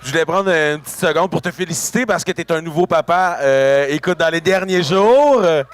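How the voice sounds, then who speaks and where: A man's voice: on the high side, normal speed, clean tone. A man interviewing an artist backstage at a festival, casually, often stumbling over his words. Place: backstage at a concert venue.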